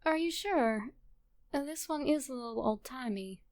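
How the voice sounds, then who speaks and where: A woman voicing a gentle, affectionate young woman, hopeful and lightly teasing, in a story reading. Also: high voice, animated, moderate pace, voice pushed up. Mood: hopeful, unsure. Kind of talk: fan fiction audio reading.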